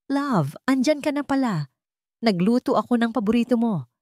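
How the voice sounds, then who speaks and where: A woman's voice: a little high, animated, moderate pace, a little mellow, dry recording, studio quality, pitched above the performer's own voice, natural voice. A woman voicing an affectionate, caring young male fiancé in a romantic audio story, with a tender, eager manner. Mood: cheerful.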